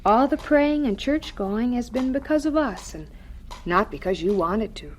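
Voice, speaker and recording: A woman playing a gentle, devout mother in a radio drama, speaking in a tender, soothing, earnest way. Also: a little high, lively intonation, unhurried, slight background noise. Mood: soft, loving.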